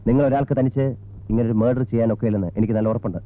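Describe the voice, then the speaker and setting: Actor as a brisk, probing Malayali policeman. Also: medium pitch, steady tone, lightly acted. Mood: stern, insistent. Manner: probing, clipped questioning. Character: persistent, businesslike male police investigator. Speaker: actor in a film. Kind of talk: film drama acting. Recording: tinny band-limited old film soundtrack.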